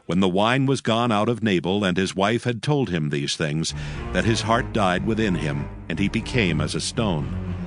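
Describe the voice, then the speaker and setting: A man narrating a dramatized audio Bible in a solemn, measured storytelling style. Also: sits low.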